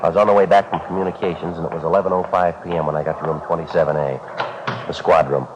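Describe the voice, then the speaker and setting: Terse male detective sergeant, played by a man, 1950s radio drama: very low, matter-of-fact, clipped delivery, faintly noisy old recording. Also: steady tone, fast, lo-fi sound, natural voice.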